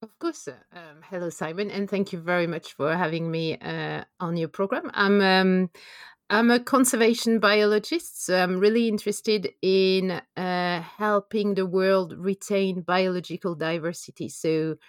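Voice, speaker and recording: A woman from France speaks with a clear French accent, her voice sitting a little low. She explains her work thoughtfully, pausing on ums and uhs. The recording is dry, with little room sound. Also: fairly even intonation, no echo. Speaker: conservation biologist guest. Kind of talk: podcast interview.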